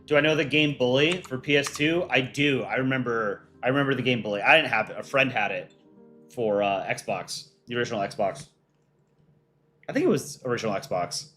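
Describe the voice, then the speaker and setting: A man's voice: mid-range voice, normal speed, a bit bright, soft background music. A man hosting an art contest on a livestream, reading out viewer chat and answering it offhand in casual talk.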